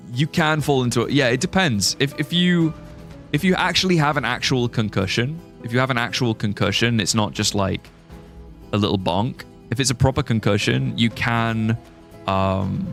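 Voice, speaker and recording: Young british guy streaming a game, gravelly fry in his voice, music faint behind. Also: medium pitch. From born in Singapore, raised British. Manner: rambling, restarts sentences, laughs at chat jokes. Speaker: livestreaming gamer. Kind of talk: casual chat while playing a game and reading chat.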